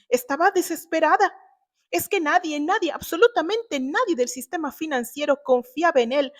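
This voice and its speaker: A woman voicing a desperate middle-aged wife and mother, pleading and justifying herself hesitantly. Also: high voice.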